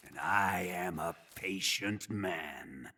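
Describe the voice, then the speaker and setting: An actor playing a sadistic military interrogator in a video game, with a menacing, mocking and deliberate delivery. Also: male voice, sits low, husky, exaggerated voice. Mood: sadistic relish. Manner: menacing, mocking, slow and deliberate, erupting into shouts. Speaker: villain interrogator. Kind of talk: dramatic video game cutscene acting.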